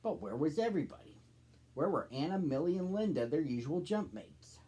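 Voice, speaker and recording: Husky-voiced man reading a children's story. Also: a little high, animated, a little fast, dark tone. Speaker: storybook reader, host.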